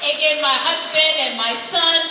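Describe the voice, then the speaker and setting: A woman's voice: high voice, unhurried, sharp tone. A woman hosting a gala and introducing speakers in an upbeat, gracious way. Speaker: event host. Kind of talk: emcee introductions. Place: large echoey hall at a gala. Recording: echoey hall, far off, faint noise.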